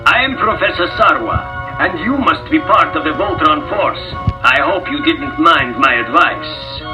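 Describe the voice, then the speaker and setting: An earnest scholar and ally in a children's storybook. He speaks gravely in a high voice, with music playing behind. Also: animated, unhurried, poor audio. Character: earnest professor, wise and grave alien ally. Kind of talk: dramatized children's storybook acting.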